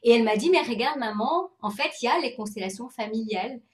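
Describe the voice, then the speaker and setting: A woman's voice: a little high, animated, fast talker. A woman in her forties from France, an interview guest talking casually and telling personal stories in a roomy space. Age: 40s. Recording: roomy, echoey sound.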